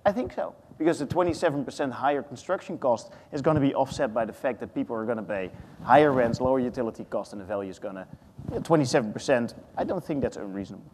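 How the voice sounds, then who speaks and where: A Dutch man in his thirties on a conference panel, thinking aloud with lots of restarts. His voice sits at a middling pitch. The hall around him adds a long, ringing reverb. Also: fast talker, darkish tone, slight background noise. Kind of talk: panel discussion.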